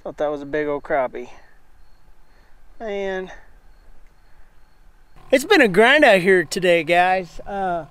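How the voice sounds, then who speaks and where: High-voiced man muttering while fishing outdoors. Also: takes it slowly, pauses often, clean tone, dry recording. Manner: excited bursts and muttered asides. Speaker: fishing vlogger. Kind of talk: casual running commentary while fishing. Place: outdoors on a river in a small boat. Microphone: small clip-on mic on the chest strap.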